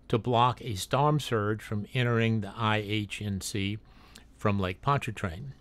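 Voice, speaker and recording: A man narrating a drone video as a read-aloud factual voice-over, with occasional slips and restarts. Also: on the low side. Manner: reads a factual text, with small slips and restarts.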